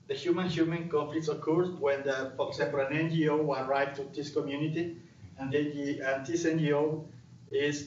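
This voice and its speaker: A man's voice: fairly high pitch, a little hiss or hum. Ecuadorian-accented man lecturing in echoey hall. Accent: Latin American Spanish. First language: Spanish. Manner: hesitant, frequent uh and restarts. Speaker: student researcher presenting. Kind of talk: conference presentation. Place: lecture room. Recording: distant mic, roomy hall, dull sound.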